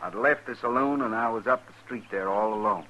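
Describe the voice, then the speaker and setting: Proud, forceful cowboy. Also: male voice, fairly low pitch, fairly quick, slight background noise. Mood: earnest. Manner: plain-spoken, stubbornly earnest. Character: proud, rough but honest Texan cowboy. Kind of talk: 1950s radio western acting. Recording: dull 1950s radio recording.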